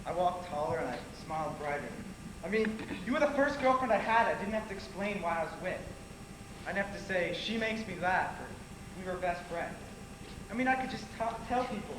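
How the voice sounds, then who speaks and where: Casting: male actor as wounded, earnest young man, high voice, stage drama; echoey, noisy theater capture. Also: lively intonation, a little fast. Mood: bitter, wounded. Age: young adult. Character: hurt, earnest young man betrayed by friends. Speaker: stage actor in a one-act play. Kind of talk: amateur stage acting, projected dialogue. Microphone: distant audience camera.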